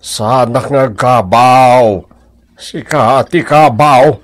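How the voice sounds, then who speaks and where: An actor plays a grumpy older village husband in radio comedy drama. He has an Ilocano accent and a medium-pitched voice. He teases and bickers slowly, grumbling as he goes. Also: lightly acted. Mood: teasing.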